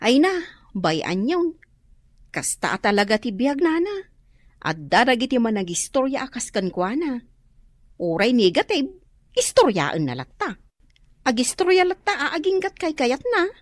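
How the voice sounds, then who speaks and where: A woman's voice: high voice, very animated, unhurried, long pauses, no room sound, studio quality, full character voice. A woman acting a sensible, good-natured married housewife in an Ilocano radio comedy drama, speaking with an Ilocano accent. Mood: friendly, advising.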